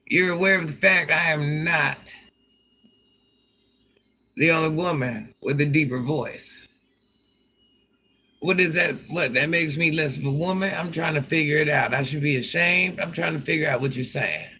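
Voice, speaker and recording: A grandmother with a deep voice, chatting on a livestream and talking back to commenters with sass. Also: moderate pace, pauses often, pushed and bright, slight background noise. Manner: sassy, talks back to commenters by name. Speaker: livestream host. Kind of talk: casual livestream chat.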